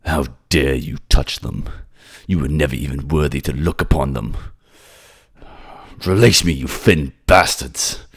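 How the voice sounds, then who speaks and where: A man plays a possessive, violent king with a very deep, gravelly voice. He snarls and commands like a captive tyrant in a dark fantasy audio drama, forceful and threatening. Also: measured pace, full character voice. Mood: furious, defiant.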